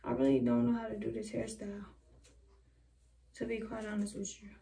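A woman's voice: mid-range voice, sing-song, fairly quick, vocal fry, warm tone. A young woman chatting casually to her camera while doing her hair in a small bedroom, rambling from one thought to the next. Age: young adult. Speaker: vlogger. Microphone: camera a few feet away. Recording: voice a bit far from the mic.

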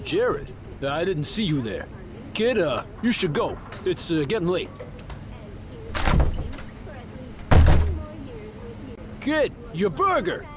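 An actor playing a weary, grumbling, nervous diner bartender in a video game, talking informally and a little hesitantly. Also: male voice, sits high, sing-song, unhurried, noisy background.

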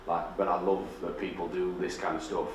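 A man's voice: low voice, level tone, brisk, slight background noise. A middle-aged man with a thick Yorkshire accent, telling stories on stage in a blunt, sweary, self-deprecating way. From Yorkshire, England. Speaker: designer giving a public talk. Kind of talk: casual storytelling talk with heavy swearing. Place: dark theatre stage with projector screen. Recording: echoey hall heard from a distance.

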